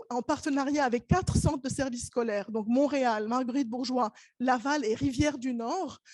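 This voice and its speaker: Femme chercheuse, voix haute, son très sec, parle sérieusement en conférence. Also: female voice, sits high, a little mellow, no room sound. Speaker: researcher presenting. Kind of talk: academic panel presentation. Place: university conference hall.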